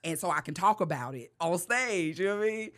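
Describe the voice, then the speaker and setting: A woman comedian telling stories in a casual interview. She laughs often, fills her talk with "you know what I mean", and jumps into high voices when she quotes herself. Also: lively intonation, a little fast, fairly bright tone. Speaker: comedian guest.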